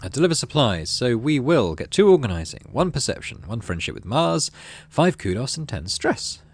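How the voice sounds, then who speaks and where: A man's voice: medium pitch, sing-song, moderate pace, no echo. A man hosting a gaming playthrough, reading story text aloud and adding casual commentary. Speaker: gaming channel host.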